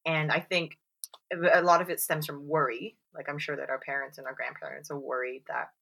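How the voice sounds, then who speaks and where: A woman's voice: sits low, level tone, brisk, creaky, a crowd in the background. A woman chatting casually on a podcast, full of fillers and "like"s, who acts out the voices she quotes. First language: English. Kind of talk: casual chat.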